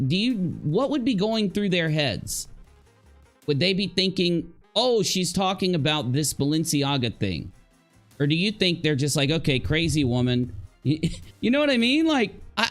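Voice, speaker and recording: A man giving casual live reaction commentary, halting as he thinks aloud and posing rhetorical questions to his viewers. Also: high-pitched, expressive, a bit bright, faint music behind. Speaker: livestream commentator.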